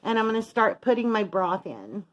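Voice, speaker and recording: A woman's voice: mid-range voice, big pitch swings, moderate pace, muffled recording. A middle-aged woman chatting casually as she narrates each step of her home cooking as a vlog host. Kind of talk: casual chat while cooking. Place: home kitchen.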